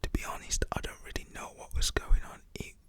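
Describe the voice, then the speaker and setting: A man's voice: a little fast, sharp tone, dry recording, lightly acted. A man voicing a strict, stern female teacher in a whisper.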